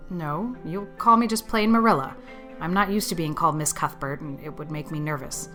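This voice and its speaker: A stern older spinster with a lowish, hard-edged voice, acted by a woman narrator. She is brisk and practical, laying down rules with grim disapproval in a storybook reading. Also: a bit bright, faint music behind. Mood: flatly refusing. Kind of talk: audiobook character acting.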